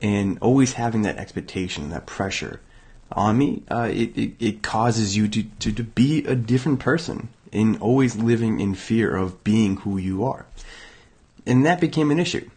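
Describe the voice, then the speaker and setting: A young man from Massachusetts hosting a motivational self-help show, hoarse and raspy from illness, talking casually with many fillers and restarts. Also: fairly low pitch, expressive, moderate pace, a little mellow. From Massachusetts, USA. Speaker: podcast host.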